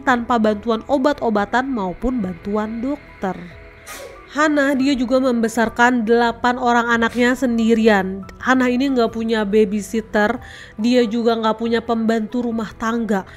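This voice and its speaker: Chatty young woman, high voice. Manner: chatty, addresses viewers as guys. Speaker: host. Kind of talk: casual storytelling commentary. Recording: faint background music.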